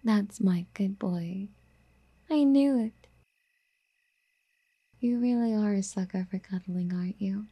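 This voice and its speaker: Casting: female performer as obsessive, doting yandere girlfriend for ASMR audio drama. Very soft, mellow, breathy delivery, affectionate and coaxing with a possessive streak. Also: medium pitch, slow pace, long pauses. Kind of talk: soft intimate ASMR roleplay.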